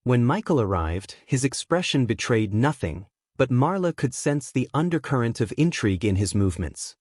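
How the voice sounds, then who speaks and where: A man's voice: medium pitch, big pitch swings, normal speed, darkish tone, no room sound, studio quality. A man reading a dramatic fiction story as a scripted audiobook narrator.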